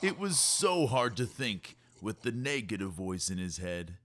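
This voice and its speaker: A man reading a rhyming children's story aloud in an expressive, measured storytelling way. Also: on the low side, slight background noise. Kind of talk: rhyming scripted narration for kids.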